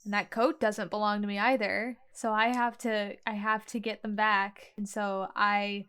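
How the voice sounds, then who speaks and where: Female game player, smooth clear mid-range voice, improvising her character's actions in casual first person. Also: mid-range voice, flat delivery, measured pace, bright, forceful tone. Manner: conversational, piecemeal phrasing. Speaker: tabletop role-playing game player.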